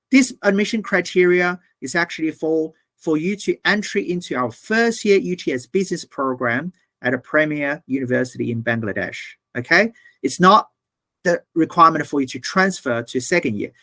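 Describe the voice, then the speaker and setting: A man who works as a university's international development manager presents slides in a webinar over a video call. He speaks earnestly and haltingly, with many "uh"s and checks of "okay?". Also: on the high side, bright, forceful tone, no room sound.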